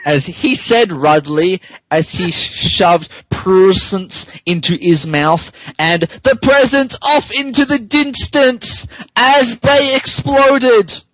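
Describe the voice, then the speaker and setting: Slow, high-pitched theatrical man reading aloud. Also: fairly bright tone, no echo, poor audio. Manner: giggly, theatrical, stumbling over words. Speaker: podcast co-host. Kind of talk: dramatic comedic reading of fan fiction.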